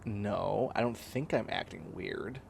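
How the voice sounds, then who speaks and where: A man's voice: on the low side, takes it slowly, vocal fry, a little mellow, slight background noise. A man playing a bossy, clingy young catboy in a comedic roleplay audio drama, whining and demanding in a needy way. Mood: content, defensive.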